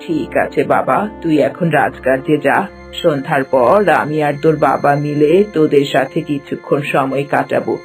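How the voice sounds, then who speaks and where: A man voicing a kind, dignified middle-aged queen mother in a cartoon, with a gentle, measured manner. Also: a little high, fairly even intonation, soft background music, lightly acted. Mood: concerned, motherly. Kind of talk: cartoon voice acting.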